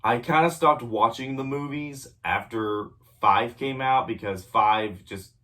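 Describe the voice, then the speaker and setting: A man's voice: medium pitch, a little slow, bright, forceful tone. A young adult man with a cold, reviewing movies in a casual, rambling way, full of ums and self-corrections. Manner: rambling, frequent ums and self-corrections, clears throat often. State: sick with a cold, voice a bit off. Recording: voice a bit distant from the mic.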